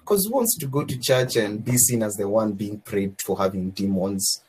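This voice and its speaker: A man's voice: fairly low pitch, moderate pace, a little mellow, husky, rough recording. A man making an earnest, opinionated argument on a podcast.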